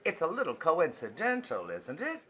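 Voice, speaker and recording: A woman's voice: sits low, huge pitch swings, normal speed, slightly rough, exaggerated voice. A woman playing a probing press reporter in an old Hollywood radio play.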